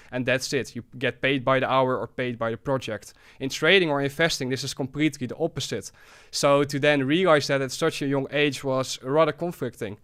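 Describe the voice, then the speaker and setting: A man's voice: medium pitch, a bit bright, smooth. An eighteen-year-old man from the Netherlands with a Dutch accent, chatting eagerly as a podcast guest. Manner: chatty, explains eagerly, light laughs. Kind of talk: relaxed interview talk.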